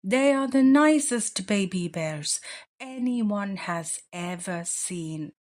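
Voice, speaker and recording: A woman's voice: medium pitch, expressive, slow pace, a little mellow. A woman voicing a tender, adoring mother bear with a soothing manner in a children's storybook read-aloud. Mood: fond.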